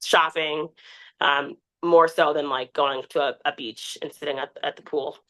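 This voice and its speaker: A woman's voice: low-pitched, measured pace. A woman in her twenties, a guest on a podcast, chatting candidly and acting out quotes as she tells stories. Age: 20s. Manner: candid, animated storytelling with quoted asides. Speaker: podcast guest, TikTok creator. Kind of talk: casual interview chat.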